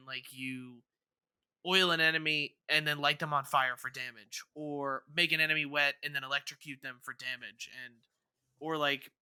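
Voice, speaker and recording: A man co-hosting a podcast in casual chat, haltingly repeating words as he thinks aloud. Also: fairly high pitch, sharp tone, clean tone, dry recording. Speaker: podcast co-host.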